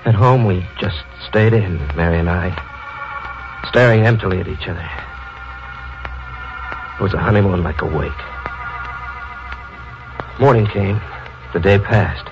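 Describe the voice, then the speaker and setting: An actor playing a guilt-ridden young husband in a 1940s radio drama, stammering and rising into panic. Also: male voice, sits low, a little slow, long pauses, over music. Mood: brooding. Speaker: Tate, the narrator. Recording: lo-fi old radio recording.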